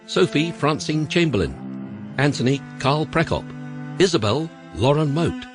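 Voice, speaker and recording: A male radio announcer reads out the credits in a formal, even tone, his pitch lively and mid-range. Loud cello music plays beneath his voice. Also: normal speed.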